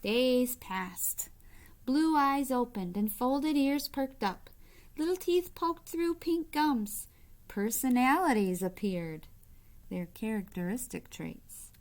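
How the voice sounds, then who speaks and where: A woman reading a children's picture book aloud like a teacher, with expressive, deliberate phrasing and short asides to young listeners. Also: a little high. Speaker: read-aloud storyteller, teacher-like.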